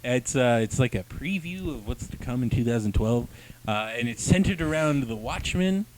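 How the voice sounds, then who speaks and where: Joking man hosting over steady background noise. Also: medium pitch, normal speed, poor audio. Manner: joking, crude, slangy. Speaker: host of comic review show. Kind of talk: casual banter and reviewing.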